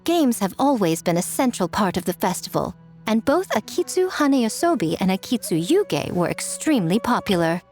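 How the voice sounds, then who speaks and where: A woman's voice: mid-range voice, big pitch swings, moderate pace, a little mellow, soft background music, close to an everyday voice. A woman voicing a sly, elegant fox-spirit shrine maiden in a video game, coy, smug and playful in a polished manner. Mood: enthusiastic, scheming.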